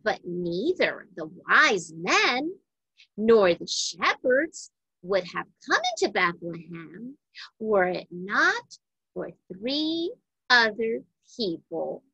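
A woman reading a children's Bible storybook aloud in a deliberate, emphatic way for young listeners. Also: mid-range voice, big pitch swings, bright, forceful tone, breathy edge, no room sound, lo-fi sound.

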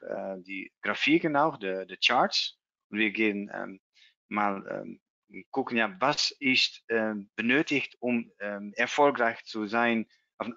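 A man with a Dutch accent, presenting a trading webinar in German as a non-native speaker, halting and searching for words with many fillers, over a video call. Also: lowish voice, sharp tone, dry recording. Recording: dull lo-fi video call audio.

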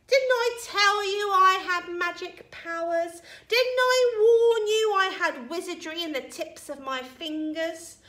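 A woman voicing a crabby, bossy old grandma from a storybook, yelling scornfully in drawn-out words. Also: sits high, full character voice. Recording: roomy echo, distant from mic.

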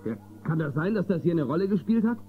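An actor playing a resolute, authoritative marshal hero in a space-western audio drama. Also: male voice, fairly high pitch, sing-song, fast talker, soft background music. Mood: serious, urgent. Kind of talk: German audio drama acting. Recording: tinny, phone-like sound with noise.

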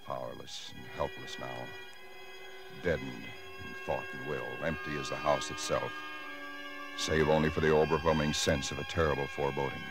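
An actor playing a haunted, brooding American husband in a 1940s radio drama, speaking haltingly and intensely. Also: male voice, a bass voice, fairly even intonation, creaky. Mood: bleak foreboding. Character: haunted, dread-filled husband recounting nameless horrors. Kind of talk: 1940s radio drama acting, first-person narration. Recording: 1940s radio broadcast with loud music.

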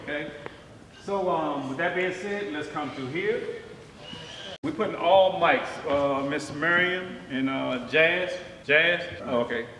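Male stage director, high-pitched, informal and commanding with cast and crew, captured far off in a very echoey hall. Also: slow, pushed and bright, slight background noise. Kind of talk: rehearsal instructions to cast and crew. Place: indoor theater auditorium. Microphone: distant handheld camera. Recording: echoey theater, picked up from far off.

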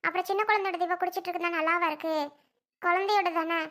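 A woman's voice: extremely high pitch, steady tone, fast talker, a bit bright. A woman voicing a scolding, defensive, exasperated aunt in a family drama. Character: scolding young aunt, exasperated and self-justifying.

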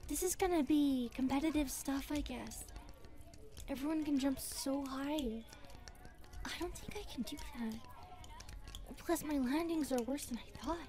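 A woman's voice: sits high, unhurried, pauses often, over music. A woman voicing a nervous, chatty young grasshopper girl full of self-doubt in an ASMR roleplay. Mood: anxious, doubting. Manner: hesitant, chatty. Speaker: roleplay voice actress.

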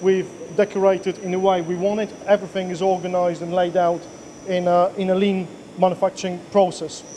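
A man's voice: high voice, level tone, brisk, warm tone, a little hiss or hum. A man in his thirties, a workshop owner, answering interview questions plainly with many fillers. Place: machine workshop indoors. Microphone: handheld mic.

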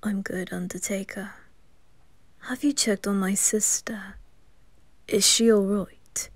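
Female performer as haughty boy noble, anime roleplay, curt, guarded, hushed, unhurried. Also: mid-range voice, steady tone. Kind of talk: anime fan audio roleplay.

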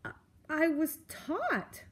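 A woman's voice: high voice, very animated, slow, fairly bright tone, pitched above the performer's own voice, heavily acted. A woman voicing a timid young female storybook mouse, stammering and earnestly pleading. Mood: meek.

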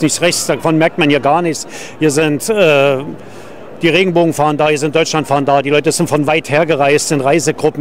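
A man's voice: fairly high pitch, a little hiss or hum. Enthusiastic man at a street demo. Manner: enthusiastic, fluent. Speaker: demonstrator. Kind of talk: street interview. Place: outdoors at a city protest.

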